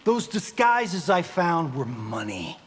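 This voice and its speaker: A man's voice: on the high side, big pitch swings, takes it slowly, a bit bright, slightly rough, full character voice. An actor playing a vain, wisecracking movie-star fighter in a video game, an American man with cocky, slangy delivery. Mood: flippant. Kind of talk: video game character dialogue. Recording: long hall reverb, faint noise.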